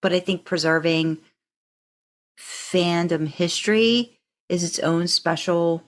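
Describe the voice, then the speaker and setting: Lower-pitched woman, earnest podcast chat. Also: takes it slowly. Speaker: podcast co-host.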